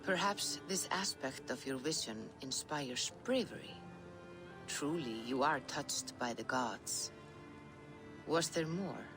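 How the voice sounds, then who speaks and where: A calm voice asking curious, gentle questions in a video game scene. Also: medium pitch, takes it slowly, music in the background.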